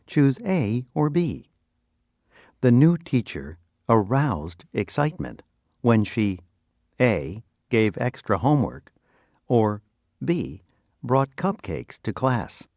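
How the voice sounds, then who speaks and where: A man presenting scripted educational quiz questions and answers with deliberate, instructional phrasing. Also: mid-range voice, big pitch swings, darkish tone, dry recording, studio quality.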